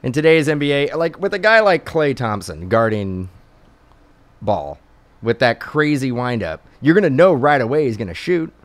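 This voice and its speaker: A man's voice: medium pitch, sing-song, moderate pace, dry recording. A man co-hosting a casual talk show, joking and opinionated. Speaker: co-host. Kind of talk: casual chat.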